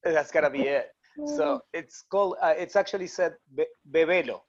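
A man's voice: a little high, sing-song, moderate pace, crowd noise. Argentine man joking on a podcast. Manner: joking, laughs at own remarks. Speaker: podcast co-host. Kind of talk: casual sports podcast chat. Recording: dull lo-fi sound.

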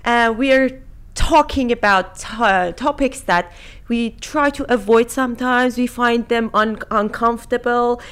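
A woman's voice: fairly high pitch, a little slow, fairly bright tone, clear, resonant tone. A woman in her thirties from Iran who has lived in many countries, co-hosting a casual panel discussion. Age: 30s. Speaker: podcast co-host.

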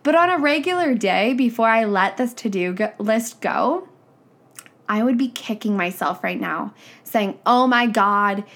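A woman's voice: on the high side, expressive, measured pace, a bit bright. Young woman, nasal and congested from a cold; frank, chatty business coach on a podcast. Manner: chatty, frank, says "like" and "right?" often. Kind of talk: casual podcast monologue.

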